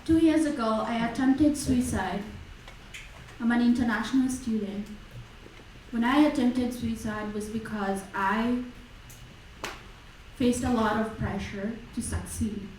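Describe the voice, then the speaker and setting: Young woman, student candidate, a bit high-pitched; measured and direct, distant in an echoing room. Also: fairly even intonation, a little mellow, slight background noise. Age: young adult. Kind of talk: personal statement in debate. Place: university lecture hall. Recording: echoey lecture hall, distant mic.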